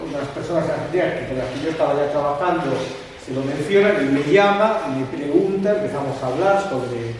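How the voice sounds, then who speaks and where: A man with an Argentine Spanish accent giving a conversational public lecture, halting now and then with fillers and restarts. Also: on the high side. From Catalonia, Spain. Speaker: guest speaker. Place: lecture hall. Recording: echoey hall from far off with faint background noise.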